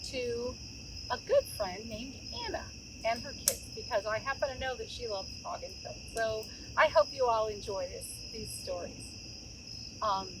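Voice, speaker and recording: A middle-aged woman hosting a children's story time, chatting informally to young listeners and addressing them directly. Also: on the high side. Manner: conversational, addresses listeners with questions. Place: outdoors by a campfire in woods. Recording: outdoor recording with clear insect noise. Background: crickets and insects, outdoor ambience.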